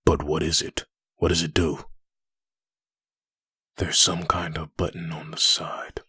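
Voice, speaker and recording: A gruff undead antihero, acted by a man for a comic dub. The voice is very deep and heavily rasped, recorded dry and close. He sounds baffled by the hellish world he has landed in. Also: little pitch movement, measured pace, sharp tone, no echo, exaggerated voice. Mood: confused. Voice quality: husky, gravelly low voice.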